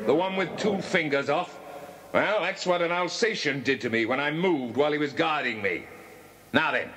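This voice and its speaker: An actor playing a menacing, commanding criminal boss in a children's audio drama, brisk and threatening. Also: male voice, on the high side. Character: menacing, commanding crook boss, adult male. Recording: noisy, clicking old cassette transfer.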